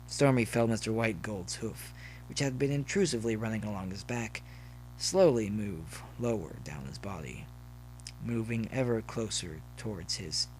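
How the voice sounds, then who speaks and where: A man's voice: on the low side, moderate pace, darkish tone, poor audio. A man reading scripted story narration as the narrator. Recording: faint noise behind the voice.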